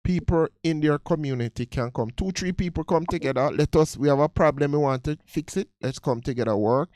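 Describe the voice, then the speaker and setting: A man's voice: a little high, brisk, darkish tone, dry recording, studio quality. A man with a Jamaican accent hosting a live radio call-in and talking with a listener. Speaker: radio host.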